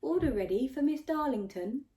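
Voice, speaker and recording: Woman as a high-pitched digital assistant. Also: expressive, normal speed, mellow, echoey room, distant mic. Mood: polite announcing. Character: polite digital assistant announcing food orders.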